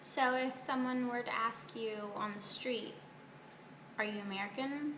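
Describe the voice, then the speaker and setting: A woman's voice: fairly high pitch, measured pace. Echoey room, faint noise, woman, interviewer, prepared questions.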